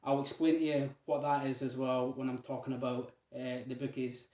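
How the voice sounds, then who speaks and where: A man's voice: medium pitch, level tone, normal speed, a little mellow, roomy sound. A Scottish man from Aberdeen talking casually to his viewers, rambling with lots of fillers. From Aberdeen, Scotland. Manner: chatty, rambling, many fillers. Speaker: vlogger. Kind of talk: casual monologue.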